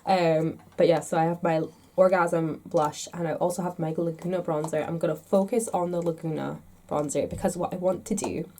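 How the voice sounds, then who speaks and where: A woman's voice: fairly low pitch, steady tone, normal speed, hardly any pauses, dark tone. Stuffed-up woman chatting about makeup. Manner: chatty and rambling, self-deprecating. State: congested, stuffed-up nose. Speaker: makeup vlogger. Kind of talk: casual chat to camera.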